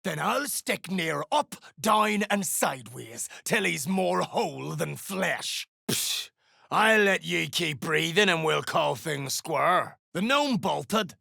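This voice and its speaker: An actor playing a gruff, greedy duergar mercenary dwarf in a video game, with a gravelly voice and coarse, threatening speech. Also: medium pitch, lively intonation, takes it slowly, bright, forceful tone, dry recording, studio quality, full character voice. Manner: coarse, swearing, dialect-heavy threats. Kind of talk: video game character voice acting.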